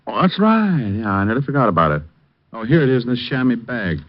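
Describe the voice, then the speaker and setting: An actor playing a cool, flirtatious private detective who also narrates in the first person, in a 1950s radio drama with a dry, wry delivery. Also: male voice, medium pitch, sing-song, fairly quick, natural voice. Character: wisecracking male private eye, cool and flirtatious. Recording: dull old radio broadcast with music.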